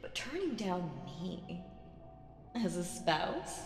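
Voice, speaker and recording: A woman playing a seductive, imperious demon queen in an audio roleplay, teasing and condescending, with drawn-out phrasing and breathy asides. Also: fairly low pitch, very animated, exaggerated voice. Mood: possessive, wistful. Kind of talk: slow roleplay audio drama monologue with whispers. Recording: echoey reverberant hall with music behind.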